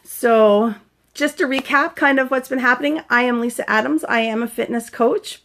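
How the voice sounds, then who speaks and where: A chatty, earnest woman in her forties from Canada, with a voice sitting a little high. She talks casually to her viewers as a fitness coach, now and then breaking off to greet people brightly. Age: 40s. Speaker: fitness coach sharing concussion recovery. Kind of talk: casual live-stream vlog, greeting viewers.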